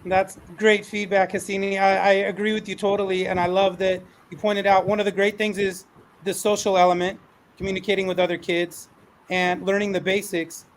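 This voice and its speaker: A man's voice: high voice, level tone, brisk, a little hiss or hum, poor audio. A man moderating a panel discussion in an encouraging, conversational way, as an educator hosting questions.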